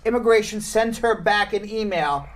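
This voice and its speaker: A man's voice: high voice, normal speed. A man hosting a live legal question show, answering viewers with blunt, joking replies. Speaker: immigration lawyer and show host.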